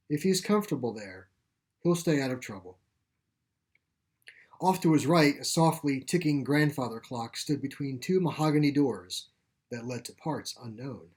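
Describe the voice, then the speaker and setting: A man narrating his own short story in a deliberate, literary storytelling manner. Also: a little high, a little mellow.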